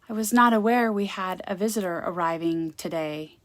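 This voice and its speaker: A woman's voice: lowish voice, normal speed, no echo, lightly acted. Woman reading as an icy, formal manor matriarch. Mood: icy, disapproving. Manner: cold, formal, unwelcoming. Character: icy, formal society mother guarding her grand manor. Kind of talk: gothic romance storybook reading.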